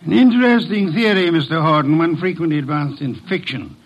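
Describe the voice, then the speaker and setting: An actor playing a pompous, erudite detective in a classic radio drama, with aloof, precise diction. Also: male voice, a little high, brisk, highs cut off. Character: pompous, woman-scorning armchair private detective.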